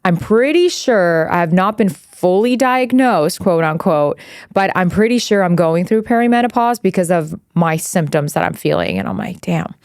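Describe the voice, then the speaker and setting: A blunt podcast co-host in her forties, a woman from Iran, with a lowish voice. She talks candidly and swears freely, often drifting into side stories. The sound is dry and close. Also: expressive, normal speed, a little mellow, dry recording. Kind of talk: casual chat.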